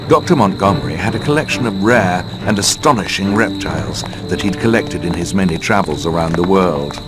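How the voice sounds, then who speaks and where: Dry gloomy narrator, low voice, lilting range, music behind, storybook game. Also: male voice. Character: wry, gloomy storyteller defining words as he narrates.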